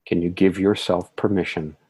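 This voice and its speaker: A man leading a guided meditation in a soothing, measured way, with calm phrasing and gentle affirmations. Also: sits low, slightly rough.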